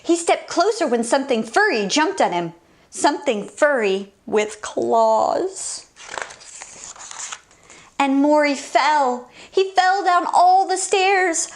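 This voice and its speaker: A high-voiced woman reads a picture book to young children as a story time host. Her delivery is slow and very expressive, with lively swings in pitch and a sing-song, exclaiming manner.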